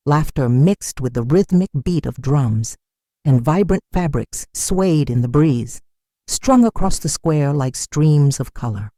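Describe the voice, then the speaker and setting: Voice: mid-range voice, warm tone, no echo, clean studio sound. A narrator telling a dramatic folktale from a script in a solemn, literary manner.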